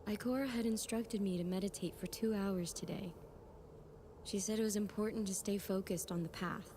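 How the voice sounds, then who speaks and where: A woman's voice: mid-range voice, fairly even intonation, moderate pace, mellow, a little hiss or hum, close to an everyday voice. A woman playing a proud, impatient warlock, narrating in the first person in a fan audio drama. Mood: frustrated, indignant. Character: frustrated, headstrong young female warlock, proud and impatient.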